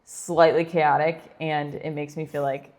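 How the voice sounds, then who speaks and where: Woman co-host, thirties, low voice, chatty and laughing, roomy reverb. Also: level tone, measured pace, darkish tone. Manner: chatty, laughs often, many fillers. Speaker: podcast co-host. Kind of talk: casual chat.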